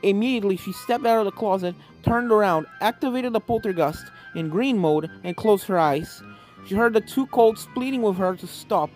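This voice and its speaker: A woman's voice: medium pitch, moderate pace, darkish tone, poor audio. A woman narrating a scripted story expressively. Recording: music behind the voice.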